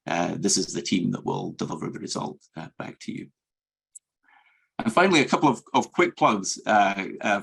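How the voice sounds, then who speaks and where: A man with a Scottish accent giving an academic medical lecture as a professor, in a measured, earnest and hesitant way. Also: deep voice, vocal fry, slightly rough, poor audio. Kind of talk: academic grand rounds presentation.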